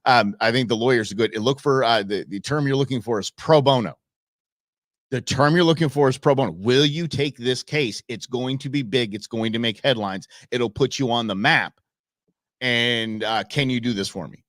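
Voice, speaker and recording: A man's voice: mid-range voice, moderate pace, a bit bright, no echo, studio quality. A man in his forties hosting a podcast, talking in a blunt, emphatic way. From United States. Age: 40s. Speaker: podcast host. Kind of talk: casual political talk.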